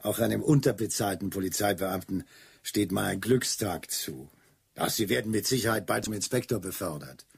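Wry chief inspector, lowish husky voice, radio drama. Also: male voice, normal speed, dry recording, full character voice. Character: dry, wry male chief police inspector. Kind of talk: German radio drama acting.

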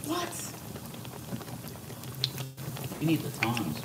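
A man off camera gushing over the cooking with loud exclamations, in a home kitchen. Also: medium pitch, huge pitch swings, extremely slow. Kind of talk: enthusiastic casual commentary. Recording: roomy, distant and noisy kitchen.

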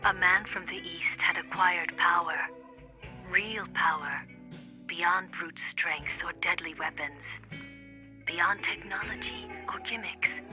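An actress playing a poised, businesslike woman fixer in a video game, speaking in a coy, deliberate way. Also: fairly low pitch, fairly even intonation, sharp tone, faint music behind, full character voice. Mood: portentous.

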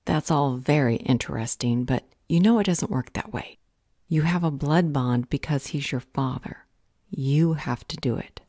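Low, mellow, firm woman leader played by an actress. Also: unhurried, no echo, studio quality, full character voice. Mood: stern. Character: firm, determined woman leading a fight against a villain. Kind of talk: audio roleplay acting.

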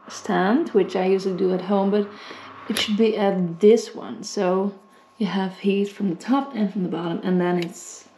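A woman's voice: medium pitch, darkish tone, a little hiss or hum. A young woman chatting casually in a home food-tasting vlog, giggly and self-deprecating, filmed at arm's length in her kitchen. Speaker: vlogger. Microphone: camera at arm's length. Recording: echoey room.

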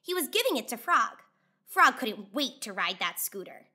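A woman in her thirties hosting a children's read-aloud, narrating expressively with clear, deliberate delivery. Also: on the high side, very animated, bright, forceful tone.

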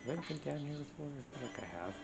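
A man's voice: on the high side, lively intonation, racing, creaky. A man commenting casually as he plays a video game, sarcastic and mildly exasperated. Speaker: co-host playing the game. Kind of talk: casual let's play commentary. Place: indoors, in front of a TV. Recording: game music over the talk. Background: video game music.

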